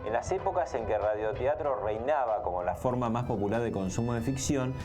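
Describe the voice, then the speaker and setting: A medium-pitched man delivers scripted documentary narration. Music plays clearly behind his voice. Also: lively intonation, a little fast.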